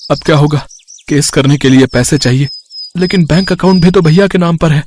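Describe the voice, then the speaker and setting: A man's voice: a little high, brisk, darkish tone, slight background noise, close to an everyday voice. A man playing an anxious, trusting younger brother and husband in a storybook tale, earnest and pleading. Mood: despairing worry.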